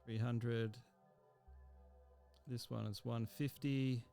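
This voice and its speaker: A man teaching a music software tutorial, thinking aloud step by step with frequent ums. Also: mid-range voice, little pitch movement, takes it slowly, creaky. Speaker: music technology instructor. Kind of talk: screen-recorded software tutorial.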